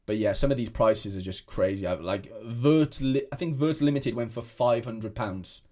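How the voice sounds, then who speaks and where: A man's voice: mid-range voice, moderate pace, warm tone. Rambling young man. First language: Welsh. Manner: rambling, many restarts and fillers. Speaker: fantasy football content creator. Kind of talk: casual chat to camera.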